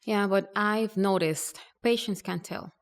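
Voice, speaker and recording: A woman doctor from Rico, answering interview questions thoughtfully with many pauses and fillers. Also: medium pitch, steady tone. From Puerto Rico. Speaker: primary care doctor.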